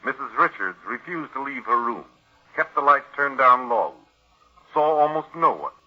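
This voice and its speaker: A man playing a shrewd, grave family doctor in 1940s radio drama, deliberate and probing like an accuser. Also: medium pitch, expressive, natural voice. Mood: accusing. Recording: muffled old radio recording.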